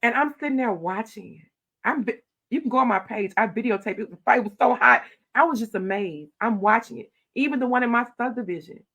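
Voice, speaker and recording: A middle-aged woman, a county commissioner telling stories in an animated, loud voice. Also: a little high, a little fast. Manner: animated storytelling, acting out her own quoted replies. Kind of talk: casual interview talk.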